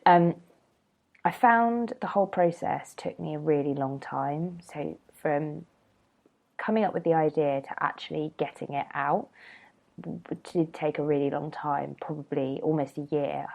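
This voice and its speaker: A woman's voice: low-pitched, measured pace, creaky, darkish tone. A woman hosting a casual solo podcast, rambling and chatty with lots of ums, sounding a bit nasal from a cold. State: has a cold, slightly nasal. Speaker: podcast host.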